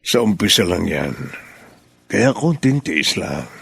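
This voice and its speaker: Gravelly, low-voiced, patient father. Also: sing-song, slow, fairly bright tone, no room sound, heavily acted. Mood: gentle, reassuring. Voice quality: gravelly, husky. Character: patient, reassuring middle-aged widowed father. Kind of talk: Filipino radio drama acting.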